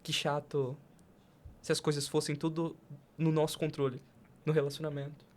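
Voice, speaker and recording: A Brazilian man hosting a casual podcast interview, talking in deliberate, broken phrases. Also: fairly high pitch, level tone, slight background noise. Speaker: podcast host.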